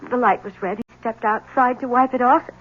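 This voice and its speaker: Anxious young wife, old muffled radio. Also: female voice, fairly high pitch, steady tone, husky. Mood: anxious, bewildered. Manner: hesitant, halting, pleading. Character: anxious young wife whose husband has vanished. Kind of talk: 1970s radio drama acting. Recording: dull, lightly noisy old radio recording.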